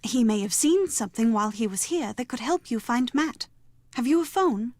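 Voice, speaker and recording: An actress playing a well-bred young bride-to-be in a video game, talking in a proper, wordy, insistent way. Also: female voice, fairly high pitch, expressive, normal speed, a little mellow, no room sound. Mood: insistent, worried.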